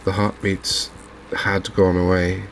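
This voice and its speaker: A soft-spoken man giving unhurried ASMR commentary on a video game. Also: low voice, monotone, vocal fry, a little hiss or hum, poor audio. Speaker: gaming video host.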